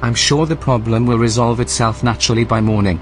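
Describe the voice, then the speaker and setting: A man performs a male AI running a remote station in an audio drama. The voice is medium in pitch, formal and measured, with veiled menace under its politeness. Faint music sits behind it. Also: fairly even intonation, lightly acted. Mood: smug, courteous.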